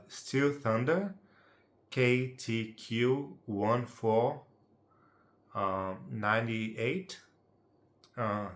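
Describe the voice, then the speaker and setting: A man's voice: mid-range voice, fairly bright tone. A Brazilian man with a Portuguese accent explains things in English, his second language, in an easygoing, chatty way, often tossing "right?" or "yeah?" to the viewer. His voice sits in a middling range. The recording has an echoey, roomy sound. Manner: chatty, checks in with tag questions like "right?" and "yeah?". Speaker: amateur how-to presenter. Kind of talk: casual unedited tutorial.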